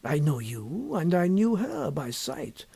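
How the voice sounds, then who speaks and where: A man voicing a sly, impassive, secretive scholar in an audiobook story, with measured, deliberate and formal phrasing. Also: a little high, huge pitch swings, creaky, warm tone, breathy edge, no room sound, heavily acted. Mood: teasing, impassive. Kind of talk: audiobook character dialogue.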